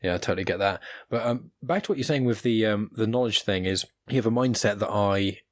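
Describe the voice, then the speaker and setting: A man's voice: fairly low pitch, fairly quick, no echo. A man with an English accent hosting a casual podcast chat in a chatty, rambling, self-deprecating way. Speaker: podcast host.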